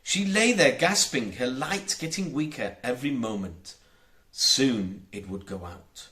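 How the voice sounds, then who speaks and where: A man narrates a children's audiobook with theatrical expression. His voice is bright and forceful, with a lively, swooping pitch and an unhurried, measured pace. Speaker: audiobook reader. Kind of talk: dramatic children's storybook narration.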